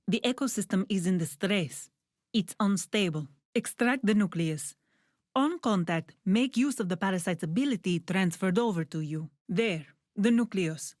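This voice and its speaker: A woman playing a composed female scientist who guides a mission in a video game, giving measured, businesslike instructions. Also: medium pitch, expressive, a little mellow, no room sound, clean studio sound, close to an everyday voice. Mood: focused, instructive. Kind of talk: scripted video game voice lines. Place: dry studio.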